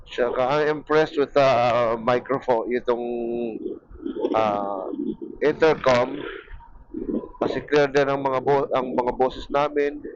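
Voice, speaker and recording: A man with a Filipino accent narrating a casual motorcycle vlog to viewers, thinking aloud in a halting way as he rides. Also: medium pitch, steady tone. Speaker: motorcycle vlogger. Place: outdoors, riding a motorcycle. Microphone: small mic near the mouth inside helmet. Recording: muffled helmet mic with wind noise.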